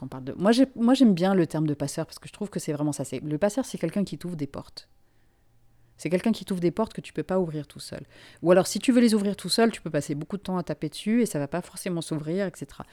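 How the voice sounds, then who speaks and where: A woman from Finland speaks in a low, mellow voice. She answers interview questions thoughtfully and often circles back over her own words while she works out a point. She sounds like a journalist in her forties talking through her work. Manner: reflective, frequent restarts and repeated words. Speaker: freelance journalist, guest.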